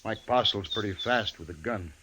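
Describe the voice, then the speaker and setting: A man's voice: fairly low pitch, normal speed, pushed and bright. A man playing a plain-spoken, stubborn American saloon keeper in an old-time radio western. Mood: folksy, confiding. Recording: hissy old radio recording.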